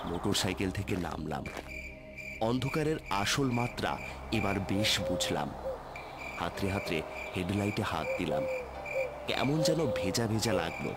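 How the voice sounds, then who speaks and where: Low-voiced man narrating horror. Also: heavy background noise. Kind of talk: scripted first-person horror story narration. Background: loud music.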